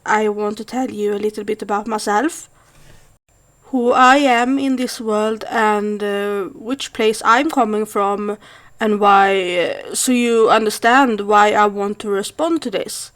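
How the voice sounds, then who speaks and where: Slow-speaking Swedish woman vlogger. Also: mid-range voice, fairly even intonation, fairly bright tone. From Sweden. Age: 30s. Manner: thoughtful, hesitant with frequent fillers. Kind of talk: casual monologue to camera.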